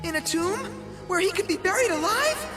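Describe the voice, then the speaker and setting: A man's voice: extremely high pitch, sing-song, moderate pace. A man playing a nervous young schoolboy in a dubbed cartoon, with music behind him. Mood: anxious. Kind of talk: animated dub acting.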